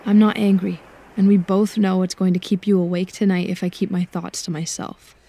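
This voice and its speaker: Woman acting creaky, mellow, guarded young woman. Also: medium pitch, flat delivery, moderate pace, no room sound. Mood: resigned. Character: guarded, wry, self-critical young cat-eared woman. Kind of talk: acted audiobook dialogue.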